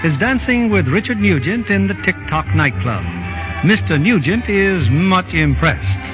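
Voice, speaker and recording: A man's voice: high voice, big pitch swings, measured pace. A man hosting and narrating a radio theater show in scripted 1940s style. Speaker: host and producer. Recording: muffled old radio recording, music behind.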